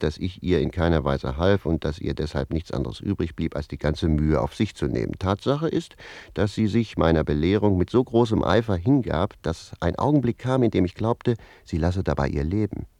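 Deep-voiced, sly storytelling manservant. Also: male voice, vocal fry, mellow, no echo. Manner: mock-innocent, emphatic, deliberately repetitive storytelling. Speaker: actor. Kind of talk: German radio play acting, storytelling in dialogue.

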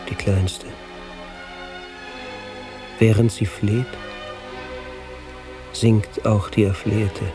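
Male radio play narrator: solemn verse recitation, unhurried and measured, soft dark tone. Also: on the low side. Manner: measured, grave, declamatory.

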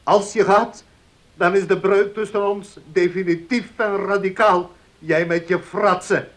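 A stern old uncle in a radio drama, with a notably high-pitched voice. He is pious, possessive and wounded, and speaks with solemn, commanding emphasis. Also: fairly even intonation, a little slow, fairly bright tone. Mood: indignant, commanding. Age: older. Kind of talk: Dutch radio play acting.

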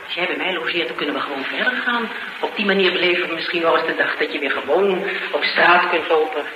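A woman's voice: sits low, quick pace, natural voice. An actress playing a tough, blunt, determined woman interviewer in a Dutch radio drama. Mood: friendly, businesslike. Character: tough, sharp-tongued, determined woman interviewer sheltering a fugitive. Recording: noisy old radio drama recording.

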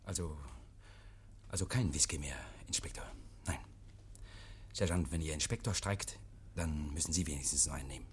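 An actor playing a nervous, guilty man in a 1950s radio play, feigning jovial innocence, stammering and repeating himself, hushed then agitated. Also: rumbling low, normal speed, pauses often, slight background noise. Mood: forced joviality. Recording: vintage 1950s radio recording.

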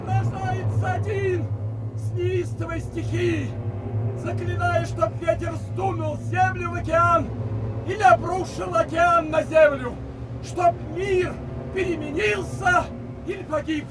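An actor playing an agitated courtier in a theatrical tragedy, declaiming his report in a shouted voice. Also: extremely high pitch, fairly even intonation, slow. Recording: distant from mic, music underneath.

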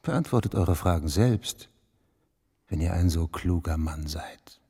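Tiefer, dunkler Amtsmann im Hall. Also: male voice, low voice, lively intonation, mellow, husky, full character voice. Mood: smug. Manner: measured, formal, evasive, pompous. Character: guarded, smug magistrate's official, formal and evasive. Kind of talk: German radio play acting. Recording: long reverberant hall.

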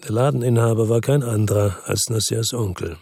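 Weary detective narrator, low husky voice, matter-of-fact, terse, dry close studio sound, radio crime drama. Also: male voice, a little fast, a little mellow, no echo. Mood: reflective. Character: world-weary, tough male detective telling his story.

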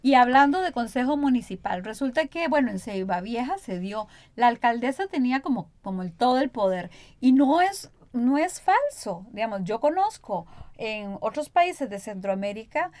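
A woman interviews in a conversational way. Her voice sits fairly high and is recorded very dry, with no room sound. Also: animated, moderate pace, a little mellow, dry recording. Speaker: radio interviewer.

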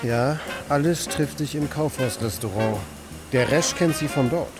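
Idle drifter, male actor, radio drama: bemused, testy, self-talking, medium pitch, music behind. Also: a little slow, lightly acted. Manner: muttering to himself, brooding, exclaiming. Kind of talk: German radio play acting.

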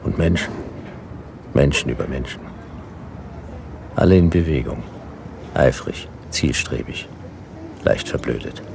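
An actor playing a laconic, world-weary, befuddled private detective, narrating a hard-boiled radio drama in clipped, deadpan sentences. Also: male voice, extremely low pitch, unhurried, gravelly, pauses often, background noise, heavily acted. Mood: dry, bemused. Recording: music behind the voice.

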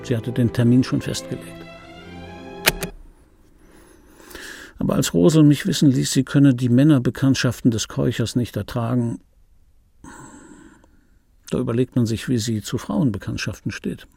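A middle-aged man with a mellow, gravelly voice recorded close and dry. He muses aloud as a wry, world-weary dubbing actor in a radio play. His delivery is laconic and intimate, like private reminiscing. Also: mid-range voice, normal speed, dry recording, soft background music. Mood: resigned, musing. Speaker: radio play actor, first-person narrator.

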